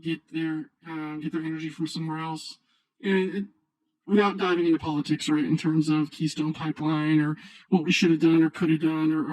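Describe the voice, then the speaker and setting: A man speaking through a voice changer, giving casual, halting, unscripted screen-share commentary as a video host. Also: fairly high pitch, a little mellow, no room sound, low-quality recording. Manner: halting, rambling, unscripted delivery. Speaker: video host, new finance YouTuber.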